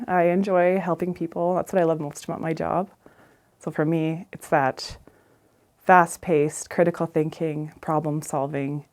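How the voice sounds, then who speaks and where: A woman's voice: sits low, darkish tone. A middle-aged woman with a Canadian accent giving an informal, plain-spoken career talk as an emergency dispatcher.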